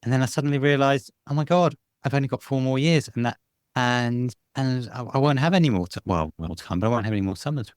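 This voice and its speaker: A middle-aged British man telling stories as a podcast guest, chatty and relaxed, often laughing. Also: medium pitch, fast, clean tone, no room sound, clean studio sound. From Britain. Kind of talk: casual interview storytelling. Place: home, lives in Spain.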